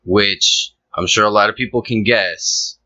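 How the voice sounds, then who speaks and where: A young man with a low, strikingly bright and forceful voice chats in a laid-back, joking way. He rambles a little, with fillers, as a podcast co-host. The recording is dry and dull, like a lo-fi home mic. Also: steady tone, unhurried, no echo. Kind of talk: casual podcast chat.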